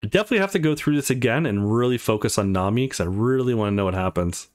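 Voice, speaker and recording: A man's voice: mid-range voice, lively intonation, rapid-fire. A man giving casual, chatty, laid-back gameplay commentary as the host of a let's play. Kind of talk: casual gameplay commentary, reading dialogue aloud.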